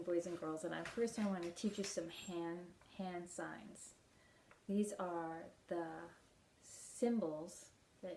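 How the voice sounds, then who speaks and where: A woman reading aloud and talking simply and gently to young children as a storytime leader. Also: on the low side, a little hiss or hum. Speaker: children's library storytime reader.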